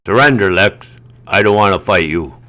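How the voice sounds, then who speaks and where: An amateur actor voicing a stern adult male superhero in an audio drama, with forceful, commanding delivery. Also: low voice, lively intonation, moderate pace.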